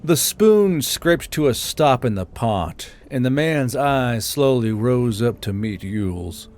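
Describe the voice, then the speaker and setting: A man's voice: medium pitch, lively intonation, slow, a little mellow, faint music behind. A man reading scripted military fiction as an audiobook narrator.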